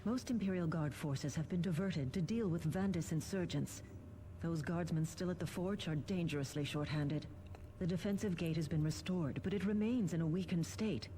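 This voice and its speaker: A woman's voice: fairly low pitch, normal speed, dark tone, slight background noise, natural voice, poor audio. Woman as a formal, urgent planetary governor. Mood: briefing. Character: brisk, earnest planetary governor giving military briefings. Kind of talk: dramatic video game briefing.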